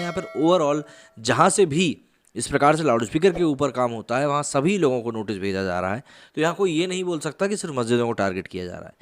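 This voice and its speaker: Man presenting news commentary. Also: medium pitch. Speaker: host of a news commentary channel. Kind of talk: news explainer commentary to camera.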